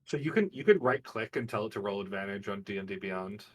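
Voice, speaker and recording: A man's voice: lowish voice, animated, fast, recorded from a distance. A man acting as game master, narrating a live tabletop game and explaining rules in an informal, vividly descriptive way. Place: online group game stream.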